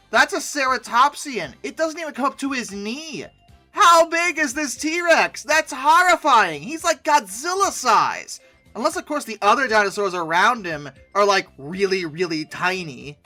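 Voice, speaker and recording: Sarcastic commentator, exasperated, very lively pitch, bright forceful voice, music underneath. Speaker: host of a commentary video. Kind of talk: humorous reaction commentary.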